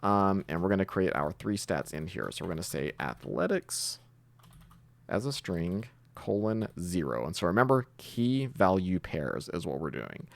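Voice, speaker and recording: A man with a very low voice and plenty of vocal fry talks through a coding tutorial in a casual way. His intonation moves around a lot as he thinks aloud, explaining each step while he works. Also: big pitch swings, moderate pace, no room sound. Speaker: programming tutorial host.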